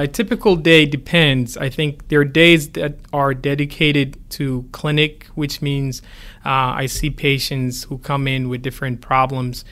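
A man you'd hear as the expert guest on a podcast, answering in an even-pitched conversational voice. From Ghana. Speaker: neurosurgeon, interview guest.

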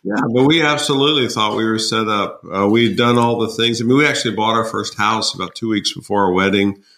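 A man's voice: fairly low pitch, fast, fairly bright tone. A man in his sixties telling personal stories as a podcast guest, emphatic and wryly humorous. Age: 60s. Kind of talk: conversational personal storytelling.

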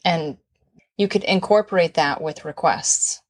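A woman's voice: lowish voice, measured pace, breathy edge. A woman co-hosting a relationship advice talk with her husband, chatty and playful, using lots of fillers. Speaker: podcast co-host. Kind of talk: casual conversational advice.

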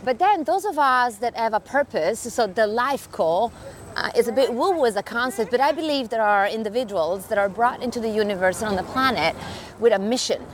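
A woman's voice: a little high, animated, fairly quick. A woman from Italy with an Italian accent, speaking as a podcast guest in casual, enthusiastic conversation while walking outdoors. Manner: enthusiastic, earnest. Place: outdoors in a large city park.

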